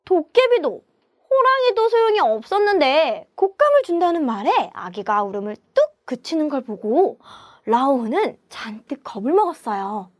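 A woman telling a story as a children's language teacher, with clear, deliberate teaching and repeat-after-me prompts. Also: high-pitched, sing-song, no room sound, studio quality. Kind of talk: animated storytelling lesson for young children.

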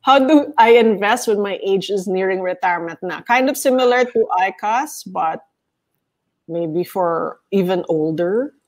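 A woman's voice: medium pitch, a little slow, a little mellow. A woman hosting an interview, reading viewer questions aloud and adding Tagalog asides. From Philippines. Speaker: interview host.

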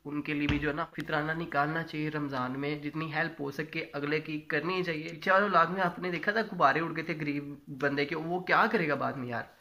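A chatty young man from Pakistan records himself on a handheld phone, his voice high and bright. Faint background noise sits under his talk. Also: steady tone, fast talker, few pauses. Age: young adult. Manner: chatty, fills talk with "thik hai" and "yaar". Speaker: vlogger. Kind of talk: casual vlog chat. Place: home rooms, garden and rooftop. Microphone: phone camera held at arm's length.

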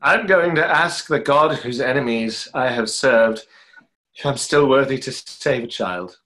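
A young man acts a tormented, proud conjurer in a stage play. His medium-pitched voice is earnest and dramatic, heavy with remorse. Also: measured pace, fairly bright tone, close to an everyday voice, low-quality recording. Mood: grave. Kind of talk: online play reading. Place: small room, indoors.

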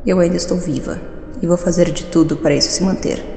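A woman playing a sly sentient computer program in an audio drama, speaking formally with measured condescension. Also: fairly low pitch, steady tone, vocal fry, a little mellow. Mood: composed, cool. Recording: echoey reverberant dream-space effect.

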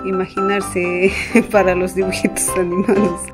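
A woman's voice: medium pitch, little pitch movement, unhurried. A Peruvian-accented woman in her thirties chatting affectionately in a casual home vlog, full of diminutives. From Peru. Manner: affectionate, uses many diminutives. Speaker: vlogger. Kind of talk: casual family vlog chat. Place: indoors at home. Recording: music playing behind the voice.

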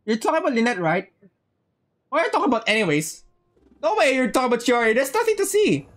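Woman game streamer, highish voice, lively pitch swings, excitable, jokey, casual chat, close mic. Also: fast. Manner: excitable, jokey, repeats phrases. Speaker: livestreamer. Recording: game music behind.

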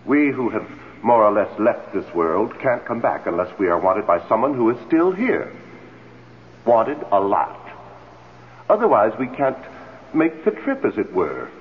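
An actor playing a weary, needy ghost of a husband in a radio drama, languid and grudging. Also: male voice, fairly low pitch, big pitch swings, a little slow. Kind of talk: old radio drama acting. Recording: tinny, faintly noisy old radio recording.

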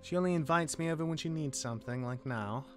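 A man playing a sardonic, awkward immortal demigod older brother, sarcastic and halting, in a fantasy game roleplay. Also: fairly high pitch, faint music behind, lightly acted. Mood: awkward, kindly. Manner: sarcastic, halting when flustered.

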